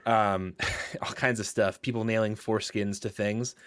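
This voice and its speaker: A man's voice: on the low side, steady tone, normal speed, smooth, no echo. A man co-hosting a podcast, chatting casually in an informal, wry, rambling way. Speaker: podcast co-host. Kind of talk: casual conversational interviewing.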